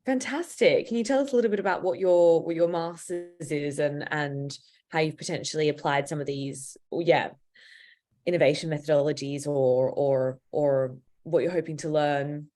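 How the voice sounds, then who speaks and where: A woman's voice: low voice, normal speed, dark tone. A woman hosting a workshop on a video call, encouraging others to speak. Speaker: workshop host. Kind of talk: casual chat on a video call.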